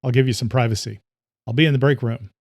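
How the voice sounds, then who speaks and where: A man's voice: mid-range voice, steady tone, fast, darkish tone, dry recording, studio quality, lightly acted. A man voicing a fatherly veteran policeman, hearty, proud and firm, in an audio thriller. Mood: warm, proud.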